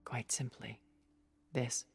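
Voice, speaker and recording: An actress playing a poised, cultured immortal woman in a video game cutscene, speaking formally and persuasively with measured, condescending gentility. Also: extremely low pitch, little pitch movement, vocal fry, heavily acted. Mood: calm, deliberate. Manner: formal, genteel, measured phrasing. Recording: background music under the dialogue.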